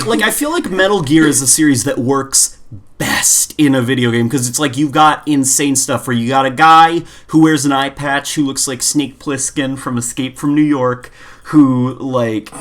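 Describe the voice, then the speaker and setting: Male podcast co-host, average pitch, casual gaming chat, opinionated and exasperated rambler. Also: unhurried.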